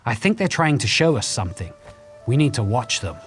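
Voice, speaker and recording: A man's voice: mid-range voice, sing-song, a little fast, soft background music, lightly acted. A man playing an eager, scholarly elf investigator in a fantasy video game, earnest and theatrical in his phrasing. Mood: intrigued, grave.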